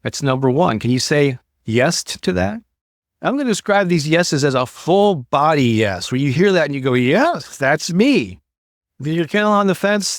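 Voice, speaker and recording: A man's voice: mid-range voice, dry recording, studio quality. An older man hosting a motivational talk for an online audience, speaking in a conversational, encouraging way and stressing key words. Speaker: host, motivational creativity coach.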